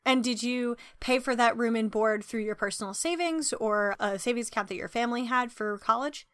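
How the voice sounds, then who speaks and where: A woman hosting a podcast, reading a prepared intro and asking measured interview questions. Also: fairly high pitch, fairly bright tone, clear, resonant tone, no echo, clean studio sound. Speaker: podcast host.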